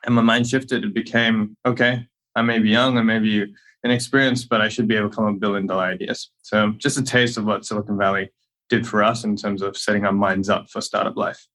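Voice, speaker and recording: A man's voice: lowish voice, little pitch movement, brisk, a bit bright. A man who grew up in Malaysia and Australia, a company co-founder telling stories as he answers interview questions.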